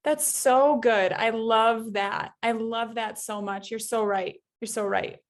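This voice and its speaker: Bright-voiced enthusiastic woman guest. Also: on the high side, steady tone, slow. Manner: enthusiastic, affirming. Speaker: podcast guest. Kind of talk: casual podcast conversation.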